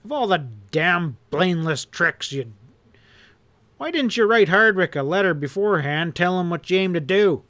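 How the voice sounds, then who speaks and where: A man's voice: fairly high pitch, moderate pace, hardly any pauses, bright, forceful tone, no room sound. A man voicing a hard, furious outlaw leader who roars and snarls his threats. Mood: roaring. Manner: snarling, roaring, ominous.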